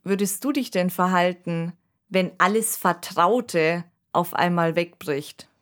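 A woman speaking in a measured, analytical way as co-host of a radio discussion. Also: on the low side.

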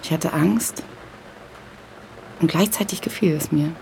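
A woman's voice: sits low, a little slow, creaky, warm tone, slight background noise, poor audio. An actress playing a wary, probing woman in an audio drama, given to pointed questions. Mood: uneasy, hushed. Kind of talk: German audio drama acting.

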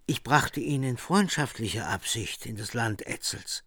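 An actor playing a proud, loyal warrior knight who speaks earnestly in a radio play. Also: male voice, mid-range voice, lively intonation, a little slow, fairly bright tone, husky, no room sound, studio quality, exaggerated voice.

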